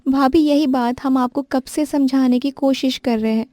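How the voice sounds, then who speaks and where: A woman's voice: high voice, fairly even intonation, moderate pace, dark tone, no echo, voice pushed up, lightly acted. A woman voicing a young sister-in-law who complains and pleads. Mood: persuading.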